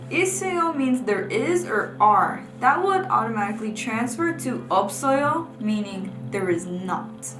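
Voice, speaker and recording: A woman's voice: a little high, echoey room, poor audio. A young woman teaching a language lesson, patiently explaining examples one by one at a whiteboard. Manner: clear, patient explaining, often pointing at a whiteboard. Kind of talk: scripted lesson narration.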